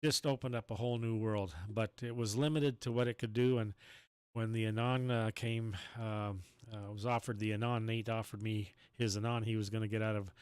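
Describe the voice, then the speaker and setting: Male ham radio operator, clear resonant voice, dry close sound, rambling casual delivery. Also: on the low side, dry recording.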